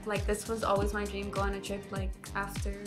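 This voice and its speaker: A woman's voice: mid-range voice, completely flat delivery, fairly quick, music in the background. A young woman from the Bay Area chatting casually with lots of "like" while doing her makeup. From San Francisco Bay Area. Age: young adult. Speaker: guest, beauty influencer. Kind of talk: casual get-ready-with-me chat.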